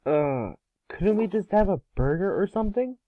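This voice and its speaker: A man playing a sulky, sarcastic and excitable boy in a cartoon script read. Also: sits high, moderate pace, dry recording, phone-quality sound. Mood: grumbling. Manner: sarcastic, grumbling.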